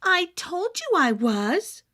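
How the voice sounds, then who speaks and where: A woman's voice: extremely high pitch, very animated, takes it slowly, sharp tone, voice pushed up, exaggerated voice. A woman voicing a young, girlish, matter-of-fact princess in a fairy tale. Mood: assured.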